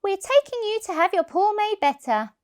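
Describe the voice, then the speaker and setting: A caring little girl from a storybook, voiced by a woman. Her high voice is soothing and sing-song as she talks to her pet. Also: very animated, moderate pace.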